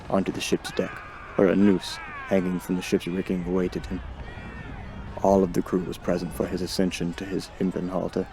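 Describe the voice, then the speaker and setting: A man's voice: sits low, noisy background. A man giving a dramatic scripted narration of a horror story in an ominous, theatrical manner. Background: music under the voice.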